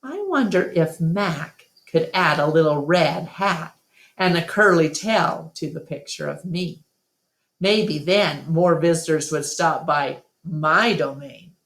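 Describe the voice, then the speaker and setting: A woman reads a children's story aloud with expression. Her voice sits slightly low and moves slowly and deliberately. The room adds a noticeable echo. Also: animated. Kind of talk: expressive read-aloud narration. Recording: roomy, echoing room sound.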